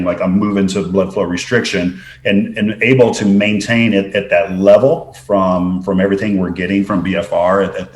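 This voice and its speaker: Low-voiced Texan man in an echoey room. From West Texas. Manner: detailed, science-heavy explanations with frequent restarts. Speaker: guest expert. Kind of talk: explanatory interview talk. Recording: roomy echo.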